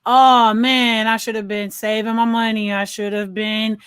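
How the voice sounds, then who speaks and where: Higher-voiced American woman, closely recorded. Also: flat delivery, a little slow, fairly bright tone, clean tone, no room sound, studio quality. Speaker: podcast co-host. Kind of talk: casual movie discussion.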